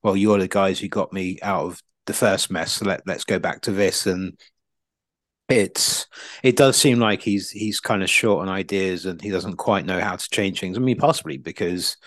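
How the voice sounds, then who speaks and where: A man's voice: low-pitched, moderate pace, no echo. A man co-hosting a casual football chat, thinking aloud tentatively and qualifying his points as he goes. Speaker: podcast co-host.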